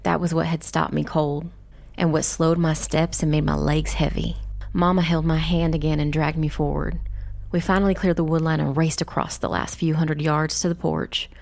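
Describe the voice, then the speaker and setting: Woman as a low-voiced young witch storyteller. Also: flat delivery, normal speed, vocal fry, a little mellow. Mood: grave. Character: young witch narrator recounting her family's ordeal, grave. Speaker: first-person narrator. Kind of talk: scripted dark fantasy audiobook narration.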